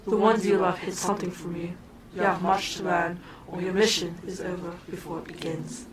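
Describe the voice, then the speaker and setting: A woman voicing an entranced female fortune teller, intoning a prophecy in a deep, layered voice, heard from a distance over loud crowd noise. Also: little pitch movement, normal speed, natural voice. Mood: ominous. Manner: ominous, intoning.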